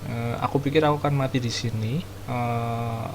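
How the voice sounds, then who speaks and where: A male game streamer with an Indonesian accent chats informally through his commentary. His voice sits at a medium pitch, and music plays clearly behind him. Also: fairly even intonation, brisk. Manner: informal, mixes in English words. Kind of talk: casual gameplay commentary.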